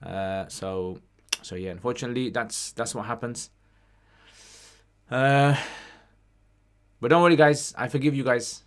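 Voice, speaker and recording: A man's voice: medium pitch, unhurried, long pauses, fairly bright tone. Informal man chatting on a livestream. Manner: informal, conversational, sprinkles in Arabic phrases. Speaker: livestream host answering questions on Islam. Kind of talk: casual livestream Q&A chat, reading out questions.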